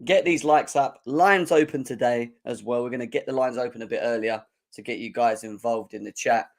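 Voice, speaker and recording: A young man, his voice hoarse and croaky, hosting a live football fan show and chatting energetically while clearing his throat. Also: medium pitch, a little fast. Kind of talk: live-stream chat.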